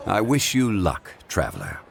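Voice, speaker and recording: A man playing a composed, wise scholarly gentleman in a video game, speaking in a formal, measured manner. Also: low voice, wildly swooping pitch, gravelly, heavily acted. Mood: calm, approving. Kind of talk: video game character acting. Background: game music.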